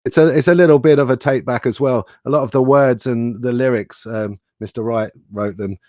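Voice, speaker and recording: A man's voice: medium pitch, fairly even intonation, brisk, darkish tone, no room sound, clean studio sound. A man chatting casually as a guest musician, easygoing and jokey. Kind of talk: casual interview chat.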